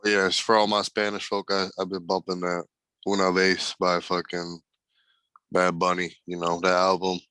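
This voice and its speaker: A man's voice: low voice, completely flat delivery, moderate pace, bright, forceful tone, smooth, no echo. A man co-hosting a podcast, bantering in a hyped, slangy way. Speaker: podcast co-host. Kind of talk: casual chat.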